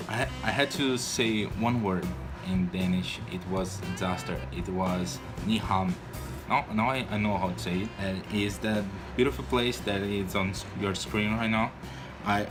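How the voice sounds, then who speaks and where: A man in his twenties from Brazil, speaking English with a Brazilian Portuguese accent in a casual personal vlog, hesitant and shy, with frequent restarts. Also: on the low side. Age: 20s. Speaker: vlogger.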